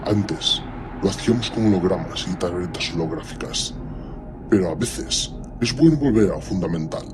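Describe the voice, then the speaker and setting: A man's voice: low-pitched, lively intonation, normal speed, over music. A man playing an ancient superhuman warlord in an audio drama, speaking with cold, commanding disdain and menace. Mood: calm, threatening. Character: ancient imperious superhuman warlord primarch, menacing and coldly condescending.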